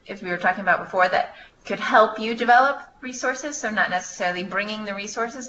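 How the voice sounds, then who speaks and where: A woman's voice: medium pitch, sharp tone. A woman staff member informally answering questions on a conference call, explaining in a hesitant way as she thinks aloud. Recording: muffled, noisy conference call line.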